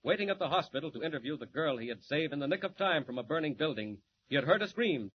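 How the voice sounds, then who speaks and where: A man's voice: on the high side, animated, fast talker, close to an everyday voice. A man narrating a 1940s radio serial as its announcer, with suspenseful, theatrical, scripted delivery. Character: dramatic male radio serial announcer recapping the story. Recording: dull, noisy 1940s radio recording.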